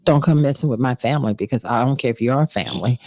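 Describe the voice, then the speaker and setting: A woman's voice: rumbling low, quick pace, darkish tone, no room sound. A woman hosting a candid talk show, emphatic and encouraging, often repeating herself for weight. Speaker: show host.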